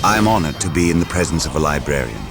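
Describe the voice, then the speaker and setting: A man's voice: rumbling low, expressive, racing, music in the background. An actor playing a formal, earnest military man in a grim sci-fi video game cutscene. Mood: respectful. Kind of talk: scripted game cutscene dialogue.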